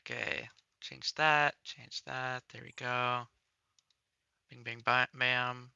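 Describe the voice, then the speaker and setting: A man with a very bright, crisp voice talks slowly while demonstrating on a livestream. He is laid-back and half mutters to himself as he works, close and dry on the mic. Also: medium pitch, little pitch movement, no echo, lo-fi sound. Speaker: game creator demonstrating on a livestream. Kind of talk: casual chat while working.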